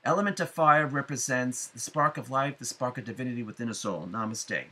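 A middle-aged man giving a spiritual forecast to viewers, speaking deliberately with emphatic phrasing and frequent pauses. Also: mid-range voice, sharp tone. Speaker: astrology forecaster and psychic medium. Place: small room at home, indoors.

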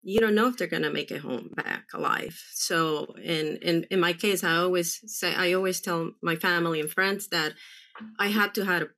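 A woman from El Salvador in her forties speaks on a video call from a room at home. Her voice sits a little low but sounds bright. She talks earnestly and haltingly, often restarting her sentences. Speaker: panel guest, military spouse. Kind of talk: candid panel talk.

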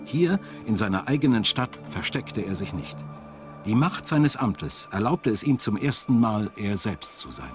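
Low-pitched male documentary narrator, music behind. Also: big pitch swings, a little slow. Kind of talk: scripted documentary narration and voice-over.

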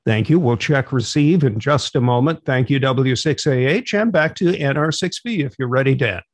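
A man's voice: mid-range voice, lively intonation, moderate pace, no room sound. Orderly middle-aged man running a radio net. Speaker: net control operator and host. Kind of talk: instructional radio net demonstration. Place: small home room, on a video call.

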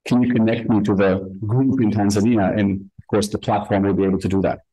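A man giving an explanatory conference presentation, speaking in deliberate, emphatic phrases with rhetorical check-ins. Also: on the low side, steady tone, warm tone, no echo, low-quality recording. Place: indoor conference room.

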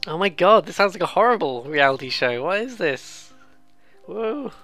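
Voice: medium pitch, big pitch swings, moderate pace, a bit bright, faint music behind. A British let's player giving casual, rambling, amused commentary on a visual novel, with some swearing. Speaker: let's play host.

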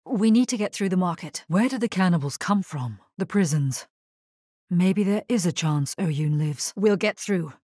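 A woman playing a grave, resolute necromancer hero in a video game, husky-voiced, speaking in a measured, solemn and terse way. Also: fairly low pitch, lively intonation, warm tone, no room sound, studio quality. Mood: solemn, determined. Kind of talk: scripted video game character lines.

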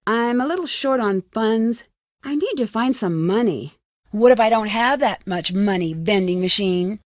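A woman's voice: medium pitch, animated, measured pace, no room sound, clean studio sound, natural voice. An American woman playing a curious, plucky young amateur detective in a video game, talking to herself in short, wry remarks. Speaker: voice actor in a detective adventure game. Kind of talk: video game character lines.

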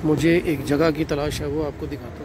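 A middle-aged man narrates a walking tour outdoors, calling out the sights to his viewers as he goes. He speaks into a handheld phone, and his voice sits a little high. Steady city traffic rumbles clearly behind him. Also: normal speed. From Pakistan. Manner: addresses viewers as "nazreen", leisurely describing sights while walking. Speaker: travel vlogger. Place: city streets at night, outdoors. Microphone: handheld phone camera.

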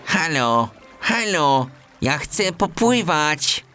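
A man voicing a huge, indignant male hippo in a children's storybook, calling out slowly in complaint. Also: on the high side, sing-song, bright, forceful tone, faint music behind, pitched above the performer's own voice, full character voice. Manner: slow, complaining calls.

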